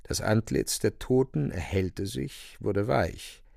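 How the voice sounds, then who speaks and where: Gravelly male narrator in a dry studio. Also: fairly low pitch, slow pace, darkish tone, no room sound. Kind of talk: dramatic literary narration for a radio play.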